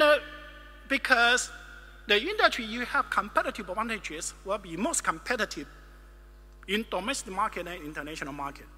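High, bright-voiced man lecturing in a hall. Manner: emphatic, gestures broadly, speaks in short measured phrases. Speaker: keynote speaker. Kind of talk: academic keynote lecture. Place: large ornate wood-panelled hall, indoors. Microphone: podium microphone.

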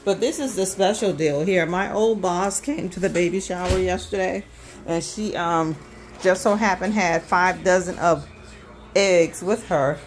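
Chatty woman hosting a grocery haul. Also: on the low side. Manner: chatty, many ums, talks fast. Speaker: host of a budget vlog. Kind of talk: casual grocery haul vlog. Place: indoors at a home kitchen counter. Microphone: handheld phone filming items.

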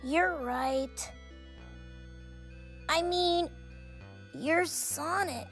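Woman voicing a high, timid little fox boy. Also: very slow, creaky, a bit bright, soft background music, heavily acted. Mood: dejected. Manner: timid, hesitant. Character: meek, apologetic little boy fox sidekick. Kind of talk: cartoon comic dub acting.

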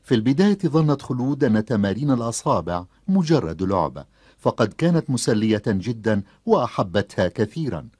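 A man playing an expressive storyteller, reading scripted narration for a cartoon. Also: mid-range voice, brisk, breathy edge, dry recording. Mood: expressive, explanatory.

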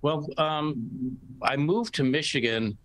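A man's voice: fairly high pitch, takes it slowly, fairly bright tone, poor audio. An American man in his sixties answering interview questions casually over a video call. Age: 60s. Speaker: interview guest, painter. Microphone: video call, sitting back from the device.

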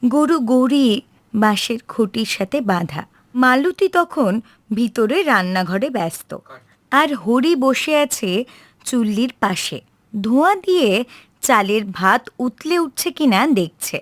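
A woman's voice: on the high side, expressive, a little slow, dry recording. A woman narrating a scripted folk tale for a cartoon as its storyteller. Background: music.

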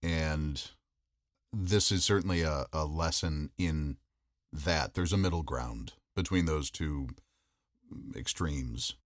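A man speaking as a podcast guest, reflecting in a measured, thoughtful way with pauses mid-sentence. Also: rumbling low, no room sound, studio quality. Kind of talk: reflective interview talk.

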